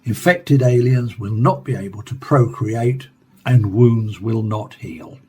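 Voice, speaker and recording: A man playing a renowned professor interviewed in a science fiction radio play, explaining research in a measured, scripted way. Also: lowish voice, darkish tone, slight background noise. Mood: assured, formal.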